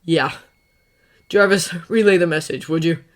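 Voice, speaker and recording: A woman's voice: lowish voice, measured pace, close to an everyday voice. A woman reading a story voices a sarcastic, defiant male inventor who drinks hard. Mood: sardonic.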